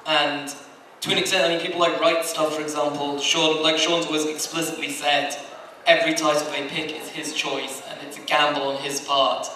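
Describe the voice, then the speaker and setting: A man's voice: a little high, little pitch movement, moderate pace, sharp tone, distant mic. A man speaking conversationally and discursively on a convention panel as an anime licensing executive. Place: large indoor convention panel room. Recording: very echoey room, off mic, faint noise.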